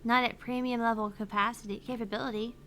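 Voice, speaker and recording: A woman's voice: a little high, fairly even intonation, fast, pushed and bright, slight background noise. A woman reading the lines of a cheerful female AI phone assistant aloud, earnest and a little pleading. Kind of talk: reading game dialogue aloud.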